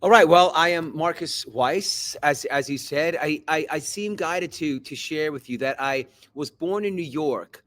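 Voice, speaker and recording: Reflective guest, halting repeated words. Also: male voice, a little high, a bit bright. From Switzerland. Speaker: podcast guest, actor and coach. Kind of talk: reflective personal story in conversation.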